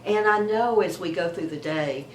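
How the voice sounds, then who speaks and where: A woman in her seventies, hoarse from a cold, giving chatty beauty advice to her viewers and often repeating herself. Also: lowish voice, moderate pace, roomy sound, slight background noise, poor audio. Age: 70s. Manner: warm, chatty, repeats words and trails off. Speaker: beauty vlogger.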